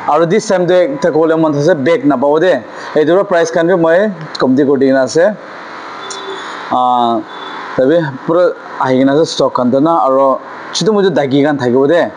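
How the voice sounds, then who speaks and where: A man's voice: fairly high pitch, a little mellow, rough recording. A man presents products in a loose, repetitive way. He drops English words into his informal sales talk. The amateur recording carries some background noise. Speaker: music store seller presenting stock. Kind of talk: informal shop tour and product demonstration. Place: small cluttered music store.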